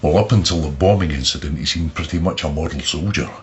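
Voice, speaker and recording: An actor playing a matter-of-fact investigator, giving clipped, factual case details in a radio drama. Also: male voice, rumbling low, quick pace, a little hiss or hum. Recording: dull sound with background ambience.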